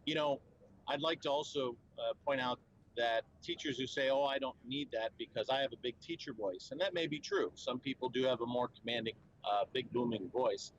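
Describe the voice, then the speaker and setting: A man talks earnestly at a trade show, walking through his points with easy fluency and the odd filler or restart. His voice sits at an ordinary middle pitch. A faint hum of background noise runs under the recording. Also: brisk, highs cut off. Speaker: company spokesman at a trade show. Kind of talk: conversational explainer interview.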